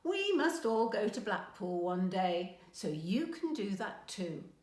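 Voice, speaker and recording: A woman's voice: medium pitch, big pitch swings, slow pace, darkish tone, reverberant. A woman voicing a fond but competitive English grandmother in a storybook read aloud.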